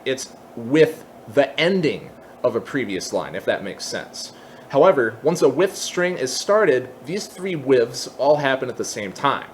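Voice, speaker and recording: A man hosting an informal software tutorial, explaining step by step and thinking aloud as he goes. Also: fairly high pitch, slight background noise. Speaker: tutorial host. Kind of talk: casual screen-share software tutorial. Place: indoors at a computer, voice-over for screen capture.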